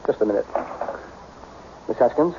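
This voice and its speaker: A man's voice: moderate pace, natural voice. A man playing a wealthy, impatient businessman in a radio mystery, curt, imperious and easily exasperated. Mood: brusque, puzzled. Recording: dull, noisy old radio recording.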